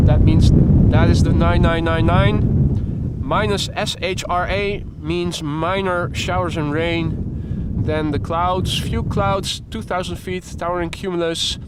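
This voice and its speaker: A man with a Dutch accent hosting a live plane-spotting stream, calmly explaining runway changes and reading out weather reports. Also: high-pitched. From Netherlands. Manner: calm, explanatory, chatty, reads out weather reports. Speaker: plane-spotting livestream host. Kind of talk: live commentary. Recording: loud wind buffeting the mic.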